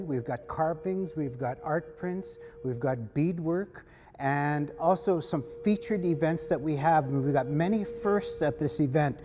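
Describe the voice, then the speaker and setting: Man in a reverberant hall. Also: fairly high pitch. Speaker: artist and art festival organizer. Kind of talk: promotional interview talk to camera. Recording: reverberant hall, music bed underneath. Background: music.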